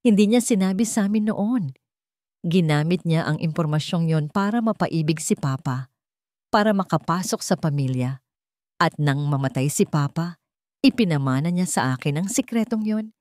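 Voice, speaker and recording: A guilt-ridden man in a dramatic audio story, acted out by a woman. The voice is low and trembles as he confesses a dark secret. The sound is close and dry. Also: expressive, normal speed, no echo, lightly acted. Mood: anguished confession. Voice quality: trembling, breaking voice.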